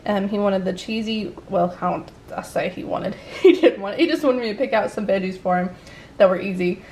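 A woman's voice: mid-range voice, normal speed, a little mellow, low-quality recording. A woman, chatting casually through a grocery haul at home, rambling and laughing often. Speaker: family vlogger. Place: home dining room, indoors.

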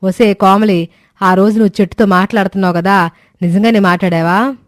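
A woman's voice: mid-range voice, fairly even intonation, fairly quick, dry recording, natural voice. Lady doing nagging suspicious middle aged mother in law, cartoon family tale, dry close recording. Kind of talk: cartoon moral-story dialogue.

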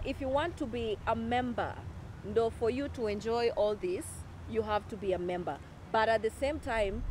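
A woman's voice: mid-range voice, darkish tone, a little hiss or hum. A young Kenyan woman hosting a casual outdoor interview, mixing English with Swahili slang. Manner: chatty, mixes English with Swahili slang, laughs often. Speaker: talk show host. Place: outdoor club lawn.

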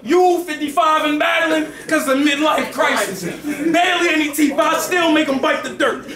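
A man in his twenties from New Jersey, a battle rapper shouting his verses and repeating lines to the crowd on a handheld mic in a packed club. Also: very high voice, moderate pace. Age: 20s. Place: packed indoor club venue. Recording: roomy club with loud crowd.

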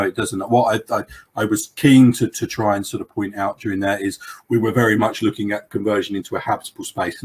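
A man's voice: fairly low pitch, slight background noise. A man answering audience questions as the expert guest on a webinar video call, in a rambling, informal way full of "you know".

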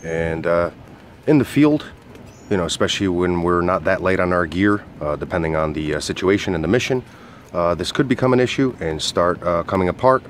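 Low-voiced man giving a casual product demonstration. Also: a little fast, a little hiss or hum. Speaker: product reviewer and outdoor coach.

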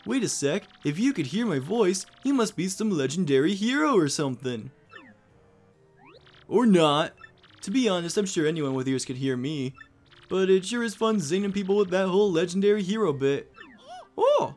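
A man's voice: high-pitched, expressive, moderate pace, darkish tone, faint music behind, pitched above the performer's own voice. A man voicing a wisecracking male talking treasure chest with a slick, joking, salesman-like patter. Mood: chummy, wheedling.

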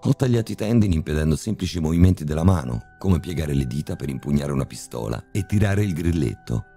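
A man playing a cold, calm, sadistic male surgeon who speaks with polite, mocking menace in a horror story reading. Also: rumbling low, quick pace, vocal fry, faint music behind, pitched above the performer's own voice, exaggerated voice. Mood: icy calm.

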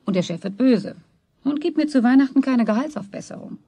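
Worried mum for a seventies children's audio drama, warm timbre, pleading and reproachful. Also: female voice, high voice, expressive, a little fast, slightly rough, heavily acted. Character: worried, probing mother of two, office worker. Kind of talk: German radio play for children, 1970s.

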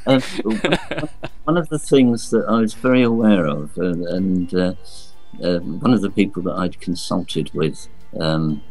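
Very deep-voiced hesitant man. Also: unhurried, a little mellow, faint music behind, poor audio. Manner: hesitant, repeats words, thoughtful. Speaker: guest author. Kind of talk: interview answers.

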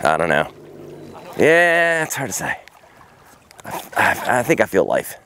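A man's voice: on the low side, wildly swooping pitch, measured pace, sharp tone. A man hosting a casual fishing vlog from an open boat, talking with exclamations and self-deprecating banter. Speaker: fishing show host. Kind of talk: casual chat while fishing, excitable. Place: small open boat on a lake, outdoors. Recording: outdoor noise on an open boat.

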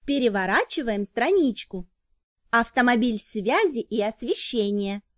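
A woman reads scripted educational narration for children, explaining in short, clearly enunciated phrases. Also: a little high, lively intonation, a little slow, no echo, clean studio sound.

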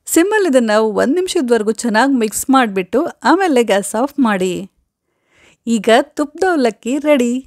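Middle-aged woman, cooking host, friendly instructive delivery, animated pitch, dry lapel sound. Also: dry recording. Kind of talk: step-by-step recipe instructions. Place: home kitchen, indoors. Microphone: lapel mic.

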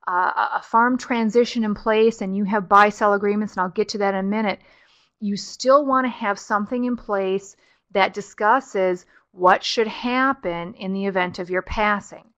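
A woman's voice: medium pitch, measured pace, fairly bright tone, lo-fi sound. A middle-aged woman giving a webinar presentation, explaining things step by step in a conversational way with frequent fillers. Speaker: webinar presenter on farm estate planning.

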